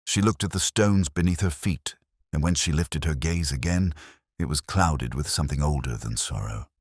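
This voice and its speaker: A man narrating a literary detective story in the first person, with a solemn, measured, brooding delivery. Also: rumbling low, slightly rough, dry recording, clean studio sound.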